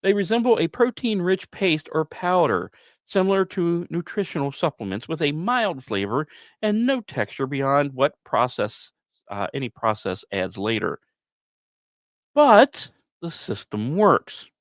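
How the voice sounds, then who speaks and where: A man's voice: on the high side, sing-song, measured pace, no room sound. Man, podcast host, very varied intonation, close dry sound, semi-scripted science news, casual wry asides.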